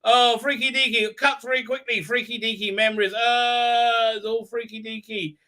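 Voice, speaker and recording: A man hosting a fan review livestream, giving a rambling, halting, sarcastic recap with repeated words and exasperated outbursts. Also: extremely high pitch, level tone, sharp tone. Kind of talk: casual mocking episode recap.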